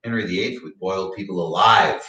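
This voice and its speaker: A man's voice: low voice, a little fast, sharp tone. A man acting a bitter, theatrical, echoey drunk. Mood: dark musing. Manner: mocking, theatrical, needling, dwelling on words. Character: bitter drunken man tormented by grief and guilt. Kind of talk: dramatic monologue in a staged play reading. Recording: roomy, echoey, distant mic.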